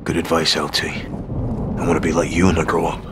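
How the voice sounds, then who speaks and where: A man's voice: extremely low pitch, completely flat delivery, moderate pace, background noise. A man playing a gritty young Scottish special forces sergeant in a video game, muttering with wry, guarded delivery. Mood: wary, wry.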